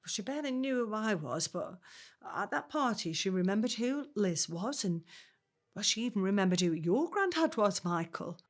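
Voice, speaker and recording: A woman's voice: medium pitch, sing-song, normal speed, natural voice. An actress playing a plain-spoken working-class mother in her seventies, grumbling but fond, in a naturalistic audio drama. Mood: fond, nostalgic. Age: 70s.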